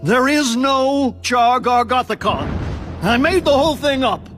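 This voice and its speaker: A man's voice: very high-pitched, expressive, unhurried. A man playing an agitated novelist, emphatic and declamatory as he speaks up indignantly. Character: agitated novelist indignantly denying his monster tales. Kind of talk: dramatic character acting. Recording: background music.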